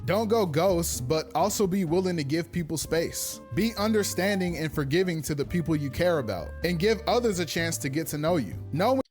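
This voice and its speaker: A man's voice: on the high side, expressive, normal speed, few pauses. A man in his twenties delivering scripted explainer narration in a conversational, earnest, advice-giving way. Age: 20s. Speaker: online video essayist. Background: background music.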